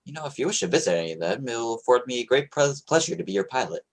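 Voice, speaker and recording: A man's voice: mid-range voice, sing-song, fast talker. A man playing a young American sloop captain in a radio play, plain-spoken and commanding, with an American accent. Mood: respectful, confident. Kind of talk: radio play acting, 1940s style.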